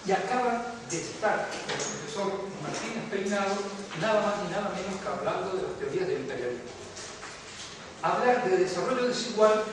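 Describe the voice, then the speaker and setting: Older Canarian-accented man lecturing from far off. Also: high voice. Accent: Canarian Spanish with Latin American mixing. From Canary Islands. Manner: reflective, discursive, frequent fillers and asides. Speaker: economics professor giving a lecture. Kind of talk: informal university lecture. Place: large lecture hall. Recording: distant echoey hall with noise.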